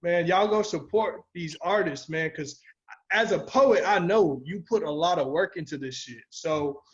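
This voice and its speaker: Higher-pitched man, informal podcast co-host. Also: unhurried. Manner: informal and slangy, swears freely. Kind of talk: casual podcast chat. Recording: dull, lo-fi audio.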